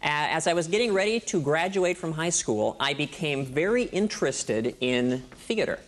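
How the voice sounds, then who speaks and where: A man from Minnesota with an American accent, chatting as a studio guest in a friendly, steady storytelling way. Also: fairly high pitch, normal speed. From Minnesota, United States. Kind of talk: casual interview chat.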